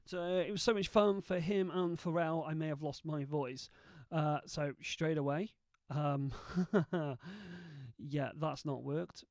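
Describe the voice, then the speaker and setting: A man hosting a video, casually thinking aloud as he tests software and mutters asides to himself. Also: fairly high pitch, steady tone, slow, no room sound, clean studio sound. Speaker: video host testing software.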